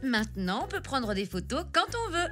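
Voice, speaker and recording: A woman voicing a kind, gently firm mother zebra in a children's cartoon, with a motherly manner. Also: a little high, very animated, normal speed, faint music behind. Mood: cheerful. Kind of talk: French preschool cartoon dialogue.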